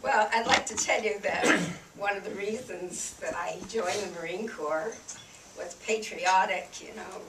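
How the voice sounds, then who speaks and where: An octogenarian woman from New Hampshire recalls her service with dry humor, her voice a little croaky from a cold. She speaks slowly, picked up from across an echoing room. Pots and dishes clatter in the background. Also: mid-range voice, recorded from a distance, heavy background noise. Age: 80s. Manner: slow, wry reminiscing with soft laughs and fillers. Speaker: veteran guest speaker. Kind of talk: personal war memories told to an audience. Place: room with an audience.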